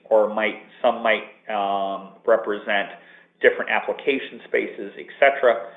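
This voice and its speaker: A man presenting a technical webinar from an office, explaining at length with fillers and restarts. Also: lowish voice. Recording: tinny phone-line audio with room echo.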